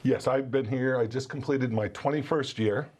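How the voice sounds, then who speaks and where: A man's voice: medium pitch, moderate pace, darkish tone. A man from New England, a college English professor guest on a local TV show, talking earnestly and enthusiastically. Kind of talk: TV interview guest. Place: TV studio.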